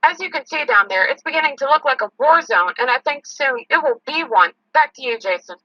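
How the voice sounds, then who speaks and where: A woman's voice: high voice, expressive, fairly quick, pushed and bright, no echo, muffled recording. A woman playing an urgent, alarmed TV field reporter giving a live report in an audio drama. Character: female TV field reporter in a news helicopter, alarmed. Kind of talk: acted live news report.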